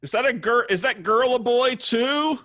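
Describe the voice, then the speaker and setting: A man reading the part of a drunk, teasing young heckler with a sardonic, drawn-out delivery, in a screenplay table read. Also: very high voice, fairly bright tone. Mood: mocking.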